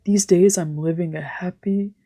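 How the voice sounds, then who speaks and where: A woman voicing an anxious, wistful, androgynous young man from a visual novel, in a casual, confiding and halting way. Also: lowish voice, steady tone, warm tone. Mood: wistful, earnest. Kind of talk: reading visual novel dialogue aloud. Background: game music.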